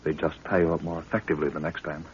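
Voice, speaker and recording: A man's voice: a bass voice, flat delivery, very fast. A man playing an earnest, respectful, anxious young assistant in a 1930s radio adventure. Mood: cautious. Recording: muffled, noisy old 1930s radio recording.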